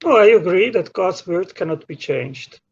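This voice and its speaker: High-voiced hesitant man on muffled call. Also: expressive, moderate pace, gravelly, breathy edge. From Switzerland. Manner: hesitant, many restarts and fillers. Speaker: caller. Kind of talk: debate on a call.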